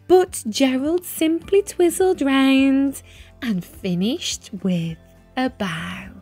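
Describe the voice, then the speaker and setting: A woman's voice: high voice, extremely slow, dark tone. A woman reading a picture book aloud expressively, with a careful storytelling lilt. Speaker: children's story reader. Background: music.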